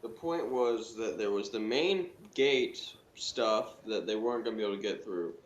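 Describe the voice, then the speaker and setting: Lower-voiced man in an echoey room. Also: moderate pace. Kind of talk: casual explanation. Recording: roomy, dull sound with faint noise.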